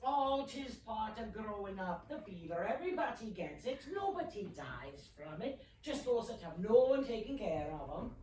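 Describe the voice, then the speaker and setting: An actress playing a kindly but bossy housekeeper, folksy and scolding yet soothing, in a period family drama. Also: female voice, mid-range voice, sing-song, normal speed, hardly any pauses, close to an everyday voice. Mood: reassuring. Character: kindly bossy Irish housekeeper, firm and reassuring. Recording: echoey room, distant mic, clear noise.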